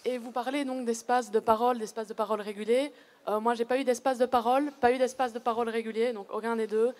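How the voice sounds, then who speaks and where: A Belgian woman puts a question from the audience. Her voice is on the high side, with a Belgian French accent. She phrases things haltingly, searching for her words as she goes. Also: steady tone, darkish tone. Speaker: audience member asking a question.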